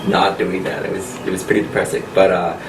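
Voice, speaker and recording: A man from the United States in his thirties, a pianist and coach answering interview questions in a friendly, unhurried way with frequent laughs. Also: sits low, monotone. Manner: friendly, laughs often, speaks slowly and clearly. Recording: echoey room recorded from a distance with noise.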